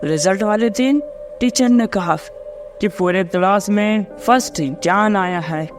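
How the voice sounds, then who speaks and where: A man's voice: sits high, a little slow, over music. A man narrating a scripted cartoon story as a storyteller.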